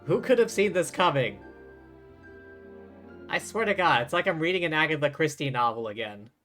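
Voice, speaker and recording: A man's voice: fairly high pitch, lively intonation, normal speed, a bit bright. A man streaming a game live, giving casual reactions and commentary on the story. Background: faint game music.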